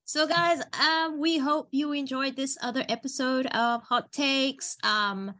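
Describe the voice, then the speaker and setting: A woman's voice: sits high, steady tone, measured pace, bright, forceful tone. A woman co-hosting a podcast in casual chat, laid-back, laughing easily and trailing off mid-thought. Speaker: podcast co-host.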